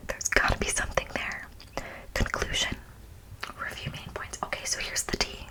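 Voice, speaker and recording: Woman in a hushed ASMR whisper. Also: moderate pace, rough recording. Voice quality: breathy unvoiced whisper. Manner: informal, emphatic, repeats phrases. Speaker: ASMR video host. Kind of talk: whispered ASMR, part reading, part casual commentary.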